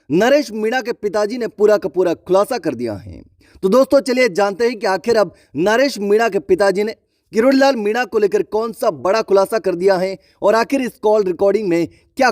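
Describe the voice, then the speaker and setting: Male news presenter, high voice, dry close sound, emphatic viewer-directed delivery. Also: darkish tone, no echo. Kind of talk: online news commentary narration.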